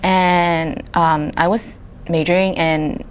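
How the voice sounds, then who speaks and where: A young woman from Vietnam co-hosting a podcast in a relaxed, casual way, with fillers and small laughs. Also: fairly low pitch, takes it slowly, slight background noise. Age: young adult. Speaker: podcast co-host. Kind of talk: casual chat.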